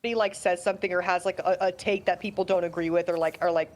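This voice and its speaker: A woman's voice: fairly low pitch, fairly even intonation, fast talker. A woman chatting casually in a hesitant way, padding her sentences with filler words. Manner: hesitant, heavy use of "like". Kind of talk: casual chat.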